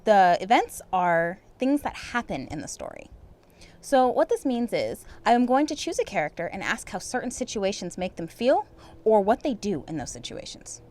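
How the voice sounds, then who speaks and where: A woman reading a picture book aloud to children, pausing to ask and answer questions in a clear, teacherly way. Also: mid-range voice, sing-song, darkish tone. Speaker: reading tutor.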